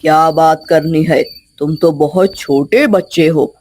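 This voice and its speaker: A woman's voice: sits low, measured pace, a little mellow, pitched below the performer's own voice. A woman voicing a stern, fearsome old male holy man in a Hindi storybook tale, grave and talking down to a child. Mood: skeptical. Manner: grave, challenging, speaks down to a child.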